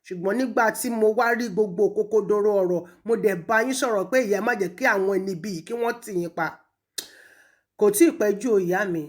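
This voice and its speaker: A woman with a Nigerian Yoruba accent, speaking accusingly and emphatically in an impassioned public rebuke, with pointed pauses. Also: medium pitch. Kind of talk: impassioned public rebuke, switching between languages.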